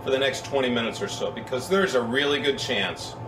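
A man's voice: medium pitch, fairly quick, distant mic. A middle-aged American man, a naval officer, giving a mock-serious introduction to a homemade video. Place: small room aboard a ship. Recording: echoey, noisy amateur video from afar.

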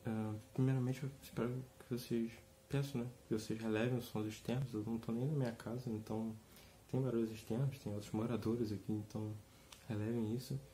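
A young man with a slight cold, giving a relaxed, rambling guitar lesson from a small room. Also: mid-range voice, brisk, slight background noise. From Brazil. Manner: rambling, relaxed, many fillers and restarts. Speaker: guitar teacher. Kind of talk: casual video lesson. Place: small room indoors, seated with an acoustic guitar.

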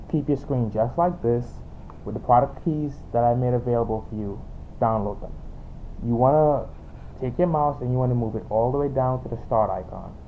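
A man with a Trinidadian accent giving an informal, halting software tutorial, explaining off the cuff and repeating himself. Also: on the low side. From Trinidad and Tobago. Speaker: tutorial presenter. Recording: tinny phone-like home recording, background noise.

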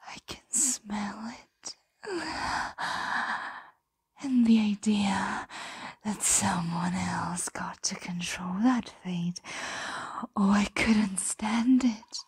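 A woman voicing a possessive, condescending ancient dragon in a breathy, coaxing ASMR roleplay whisper. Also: mid-range voice, drawn-out words, pauses often, darkish tone, exaggerated voice. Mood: covetous.

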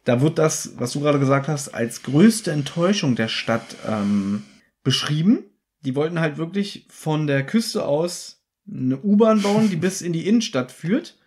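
Male podcast co-host, fairly high voice, thorough, animated retelling. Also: a little slow. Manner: detailed, animated storyteller who acts out quotes. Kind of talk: casual chat, retelling an audio drama.

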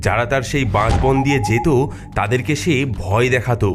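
A man's voice: mid-range voice, brisk, over music. A man reading scripted narration for a cartoon story.

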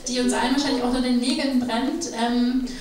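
A woman hosting a panel, enthusiastic and welcoming, with a fairly high voice. She is heard from a distance in a very echoey room. The audience is heard around her. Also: steady tone, fairly quick, a crowd in the background. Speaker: event moderator. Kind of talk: moderating a panel.

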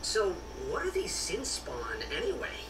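An easygoing young fantasy game warrior, pitched high and speaking in loose, slangy phrases. The game audio sounds roomy and distant, with clear noise over it. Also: male voice, huge pitch swings, unhurried, heavily acted. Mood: casual, friendly. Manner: informal, clipped slangy speech. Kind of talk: video game voice acting.

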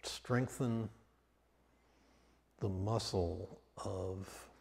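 A man leading a guided meditation as a teacher, speaking calmly and off the cuff in short, measured phrases with long pauses. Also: low voice, creaky. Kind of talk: guided meditation, spoken slowly and unscripted. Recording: long reverberant hall sound.

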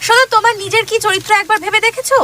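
A woman's voice: extremely high pitch, fairly even intonation, rapid-fire, slight background noise. A woman playing a fiery, defiant young wife. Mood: indignant. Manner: sharp, indignant, argumentative. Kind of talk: radio play acting.

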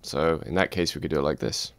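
Male instructor, low dry voice, calm step-by-step tutorial read. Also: quick pace, clear, resonant tone, dry recording. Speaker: music production instructor. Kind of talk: screen-recorded software tutorial.

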